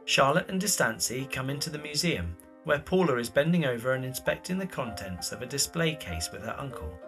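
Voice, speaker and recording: A man's voice: medium pitch, lively intonation, a little fast, fairly bright tone, soft background music. A man narrating scripted stage directions for an audio drama.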